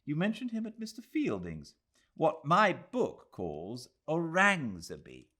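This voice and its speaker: A man's voice: fairly high pitch, wildly swooping pitch, slow pace, pushed and bright, voice pushed up, exaggerated voice. A man voicing a polite, inquisitive young English woman in an audiobook, in a questioning manner. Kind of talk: audiobook character voice.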